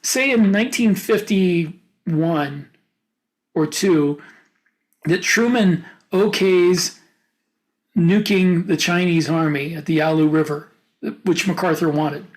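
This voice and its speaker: Halting man thinking aloud on a podcast. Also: a little high, rough recording. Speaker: podcast co-host and author. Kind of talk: casual panel discussion.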